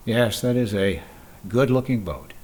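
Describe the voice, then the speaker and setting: A man playing a polite, probing, skeptical insurance investigator in an old-time radio detective drama, measured and wry. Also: medium pitch, lively intonation, darkish tone. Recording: clear background noise and ambience.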